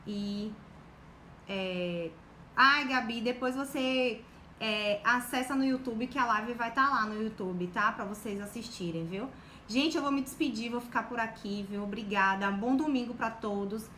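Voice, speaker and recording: A woman's voice: a little high, a little slow. Encouraging Bahian woman on a live stream. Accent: Bahian Portuguese. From Bahia, Brazil. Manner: warm, encouraging, full of fillers like "né" and "tá". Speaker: guest on a live stream about exam preparation. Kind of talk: casual motivational talk to a live audience. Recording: echoey room with faint background noise.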